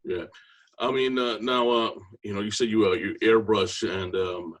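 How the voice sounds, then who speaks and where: A man hosting an interview, talking casually with many halting "uh" fillers. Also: lowish voice, steady tone. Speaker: interview host.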